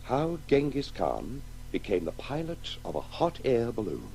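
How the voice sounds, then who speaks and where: Moody writer telling his story in a radio play, halting, very swoopy intonation, slight noise. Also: medium pitch, wildly swooping pitch, gravelly, a little mellow, heavily acted. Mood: musing. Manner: musing, halting, intense. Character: brooding, wonder-struck male writer telling his story. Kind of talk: radio play acting with narration.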